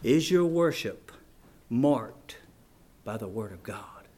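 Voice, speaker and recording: A man preaching a fervent, folksy evangelical sermon, swinging between shouts and hushed appeals. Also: medium pitch, animated, slow pace. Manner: folksy, plain-spoken grammar with rhetorical questions.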